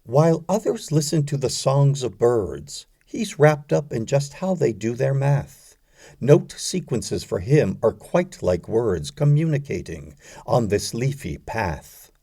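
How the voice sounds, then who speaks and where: A man narrating a poetry audiobook, reciting scripted verse in a measured, deliberate way. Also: mid-range voice, big pitch swings, dark tone, husky, dry recording, studio quality.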